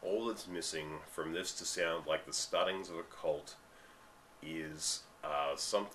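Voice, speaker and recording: A man's voice: sits low, steady tone, fairly bright tone, a little hiss or hum. An Australian man in his thirties, getting over a cold, giving an emphatic ranting commentary to a webcam in a dim room at home. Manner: ranting, emphatic, drawn-out stresses. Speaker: vlogger. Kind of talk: commentary monologue.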